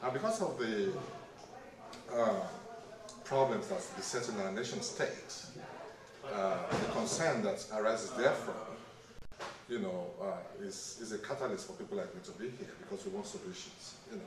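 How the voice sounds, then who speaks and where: A man's voice: fairly low pitch, sing-song, moderate pace, distant mic. A middle-aged man from Nigeria, an attendee speaking formally and at length about his hopes for the country. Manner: formal, wordy, many "uh" fillers. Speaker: event attendee being interviewed. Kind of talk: answering interview questions. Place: recreation center, crowd nearby. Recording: very echoey hall, voice from off-mic, crowd behind.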